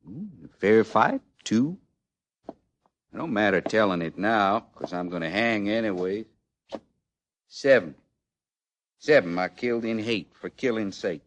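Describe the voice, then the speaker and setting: An actor in old-time radio western style playing a condemned American outlaw in his thirties, with a rustic, halting Western drawl and a rueful, plainspoken manner. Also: lowish voice, lively intonation, slight background noise. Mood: bitter. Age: 30s. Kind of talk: mid-century American radio western acting.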